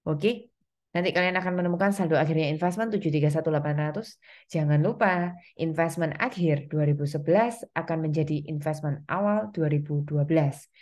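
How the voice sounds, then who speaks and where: A woman lectures to students in a slightly low, very mellow voice. She explains things step by step, often pausing on reminders and small check-ins to keep listeners following. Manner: instructional, step-by-step, with frequent reminders and check-in tags. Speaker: accounting lecturer.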